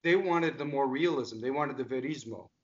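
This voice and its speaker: Lively American man on a muffled video call. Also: mid-range voice, moderate pace. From United States. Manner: energetic, gestures a lot, asks pointed questions. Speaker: diction coach. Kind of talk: casual video call discussion. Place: home music room. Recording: dull lo-fi call audio.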